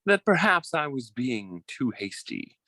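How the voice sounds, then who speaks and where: A theatrical con-man suitor played by a man, recorded close and dry. His pitch swoops widely as he schemes and bullies, and he is a coward underneath, prone to stammering when flustered, in old-time radio style. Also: big pitch swings, unhurried, bright, forceful tone, dry recording, exaggerated voice. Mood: oily flattery.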